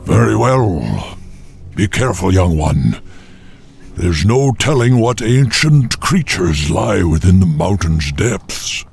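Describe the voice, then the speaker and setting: A wise old creature chieftain for a fantasy game. He speaks slowly in a creaky voice that swells and dips, solemn and full of caution. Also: sits low, very animated, a little mellow, slight background noise, heavily acted. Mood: grave, cautioning. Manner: solemn, weighty. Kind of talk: video game cinematic acting.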